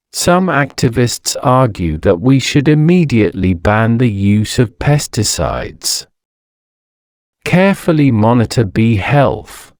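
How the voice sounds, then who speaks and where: A man reading scripted educational narration in a measured, deliberate way, enunciating each phrase with pauses. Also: mid-range voice, a little mellow, smooth, no echo, clean studio sound. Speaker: narrator of an English-learning audio story.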